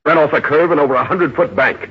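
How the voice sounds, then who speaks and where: An American man playing a no-nonsense, skeptical police sergeant in a 1950s radio drama, brisk and matter-of-fact. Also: a little high, over loud music, lightly acted. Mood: businesslike. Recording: muffled, noisy 1950s radio recording.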